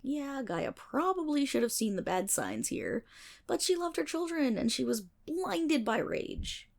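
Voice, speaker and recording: A playful, nerdy female best friend acted by a woman rambles through an ASMR roleplay. Her voice sits fairly high and swings widely in pitch, with a clear creak. She is chatty and theatrical, interrupting herself with mock-exasperated asides. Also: very animated, unhurried, full character voice. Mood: animated, dramatic. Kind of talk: ASMR roleplay, casual storytelling monologue.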